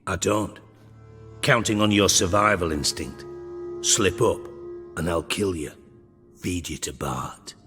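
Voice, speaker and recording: Underworld crime lord, fairly deep voice, sardonic, blunt, menacing, video game, light music behind. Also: male voice, takes it slowly, pauses often, in a large hall. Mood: threatening. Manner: sarcastic, dry, blunt. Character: menacing, sardonic, foul-mouthed male underworld crime boss.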